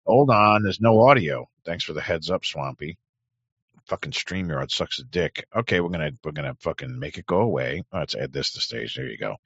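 A man's voice: rumbling low, lively intonation, a little fast, fairly bright tone, husky, no room sound. A man streaming live, chatting casually and reacting crudely to clips he plays. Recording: dry, dull lo-fi sound.

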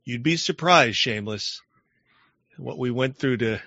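A man's voice: medium pitch, measured pace, vocal fry, sharp tone, no room sound, highs cut off. A man hosting a live online show in casual chat, loose and rambling, often trailing off mid-sentence.

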